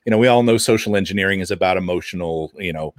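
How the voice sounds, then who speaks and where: A man's voice: low-pitched, racing, no room sound, studio quality. A man co-hosting a podcast in casual banter, with an enthusiastic manner. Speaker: podcast co-host.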